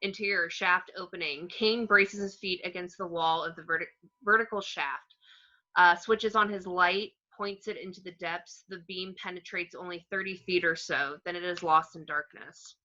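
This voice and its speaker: A woman reading screenplay action descriptions as narration, in a plain, measured way. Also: fairly low pitch, fairly even intonation, sharp tone. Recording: slightly dull home microphone.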